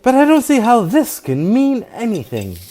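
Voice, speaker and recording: A woman's voice: on the high side, huge pitch swings, moderate pace, dark tone. A woman playing a polite, flustered housewife hostess with a hesitant, melodramatic manner in an old-time radio drama. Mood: shaken, hesitant. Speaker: actor.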